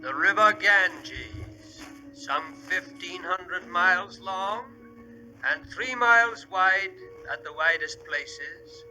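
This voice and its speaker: A man's voice: high voice, expressive, takes it slowly, pushed and bright, full character voice, low-quality recording. A man playing a solemn television travelogue narrator in an old radio show, announcing in a stately, formal way. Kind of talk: 1940s-50s travelogue narration within radio comedy. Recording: vintage broadcast, faint music behind.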